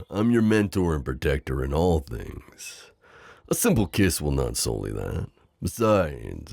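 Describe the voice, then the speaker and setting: Possessive knight and mentor, acted by a man: courtly, gently hesitant, gravelly, recorded very dry and close. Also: a bass voice, no room sound, full character voice. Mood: reassuring, coaxing. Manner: formal, courtly, tender, hesitant. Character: protective, possessive gallant knight, mentor to a princess. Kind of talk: intimate roleplay audio acting.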